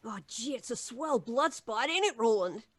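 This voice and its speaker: A woman playing a brash, excitable young American boy in an old-time radio drama. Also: fairly high pitch, normal speed. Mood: eager, cheeky.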